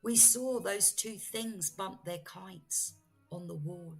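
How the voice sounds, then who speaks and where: A woman reading a children's storybook aloud as a teacher, with expressive, dramatic phrasing. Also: mid-range voice, takes it slowly, gravelly, husky. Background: music.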